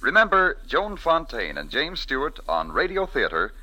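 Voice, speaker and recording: A man's voice: a little high, wildly swooping pitch, moderate pace, sharp tone, low-quality recording. An American man announcing in a formal, emphatic, polished 1940s radio promotional style.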